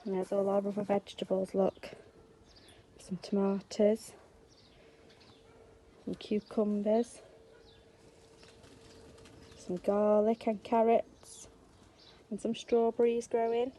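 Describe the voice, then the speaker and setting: A woman with a soft-edged, mellow voice at a middle pitch addresses young children like a nursery teacher at story time. She explains things simply and stresses key words, with faint noise in the background. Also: little pitch movement, takes it slowly, long pauses. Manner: simple, explanatory, with questions and emphasis. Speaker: early years teacher and story reader. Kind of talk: speaking to young children, reading a picture book.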